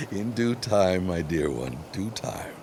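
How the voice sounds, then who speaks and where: An actor playing a suave, ambitious gentleman suitor of 1916 in a period audio drama, with courtly and grandiose formal speech. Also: sits low, expressive, slow pace, full character voice. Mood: teasing. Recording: clear background ambience noise.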